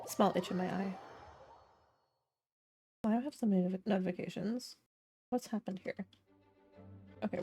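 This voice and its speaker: A woman's voice: on the low side, dark tone. A woman live-streaming a video game, chatting casually in a rambling, reactive way. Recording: game music behind the voice.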